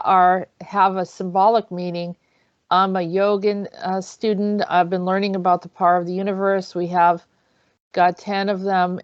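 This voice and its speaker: A woman's voice: on the low side, smooth, no room sound. A woman hosting an online show in a casual, conversational way, often rephrasing herself as she goes. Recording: dry, slightly dull audio.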